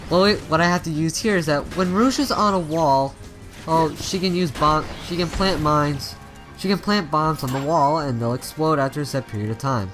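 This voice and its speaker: A man's voice: fairly high pitch, normal speed, fairly bright tone, soft background music. A man giving casual let's play commentary, rambling and often stumbling and rephrasing as he goes. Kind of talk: casual video game commentary.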